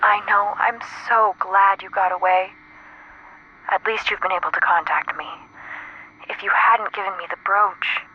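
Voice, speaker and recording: An actress playing a frightened, devoted woman in a retro radio drama, speaking haltingly through an old telephone filter. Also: mid-range voice, fairly even intonation. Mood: apologetic, tender. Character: frightened, devoted woman, a sweetheart trapped under alien occupation. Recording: compressed narrow-band line with static noise.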